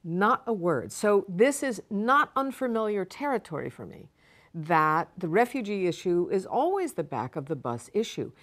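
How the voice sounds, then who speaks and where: A woman answering interview questions as an expert, measured and explanatory, with emphatic repetition. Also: mid-range voice, very animated, a bit bright. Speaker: guest expert on refugees.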